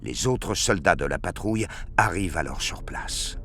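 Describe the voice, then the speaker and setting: A man narrating a scripted French audio drama in a measured, solemn storytelling manner. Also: low-pitched, lively intonation, bright, forceful tone, a little hiss or hum.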